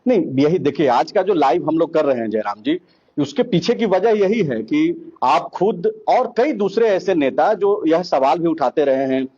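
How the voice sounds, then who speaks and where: A man working as a journalist in a live news interview, putting questions haltingly and rephrasing them. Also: fairly high pitch, expressive, dark tone, breathy edge. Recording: muffled call recording.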